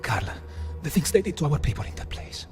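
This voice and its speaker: An actor playing an earnest, troubled resistance fighter in a video game cutscene, grave and emphatic. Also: male voice, on the high side, big pitch swings, brisk, a little mellow, faint music behind.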